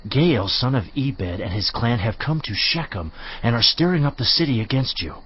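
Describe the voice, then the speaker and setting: An actor playing a scheming ancient city governor, deliberate and conspiratorial, in a dramatised audio Bible. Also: male voice, medium pitch, animated, husky. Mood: urgent, plotting. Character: scheming city governor sending secret counsel to his lord. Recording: muffled, band-limited audio.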